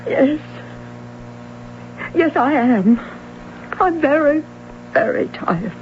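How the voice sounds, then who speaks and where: An actress playing a tired, insistent middle-aged mother in a 1970s radio drama, speaking in a measured way. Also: high-pitched, animated, a little hiss or hum, full character voice. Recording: lo-fi old radio broadcast.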